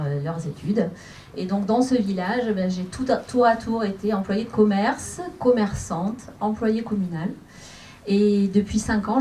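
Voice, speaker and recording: A woman candidate introducing herself at a public meeting, halting with frequent fillers. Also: mid-range voice, mellow. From France. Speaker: municipal list candidate. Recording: distant mic in a room with faint noise.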